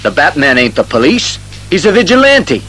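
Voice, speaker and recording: A man playing a sceptical, slow-witted police sergeant, blunt and colloquial, in a superhero audio drama. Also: on the high side, very animated, sharp tone, soft background music. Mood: grudging. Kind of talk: superhero radio drama acting.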